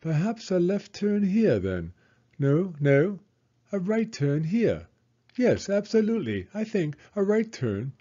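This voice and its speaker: A man's voice: high voice, sing-song, slow, dark tone, lo-fi sound, voice pushed up, full character voice. A man voicing a muddled, politely flustered jungle guide in a storybook, muttering hesitantly to himself. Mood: flustered, dithering. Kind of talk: storybook character voice.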